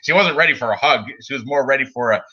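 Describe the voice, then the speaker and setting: A man's voice: mid-range voice, quick pace, sharp tone, slightly rough, muffled recording. A man in his forties hosting a casual sports betting chat, rambling with lots of fillers and frequent laughs. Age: 40s. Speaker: podcast host.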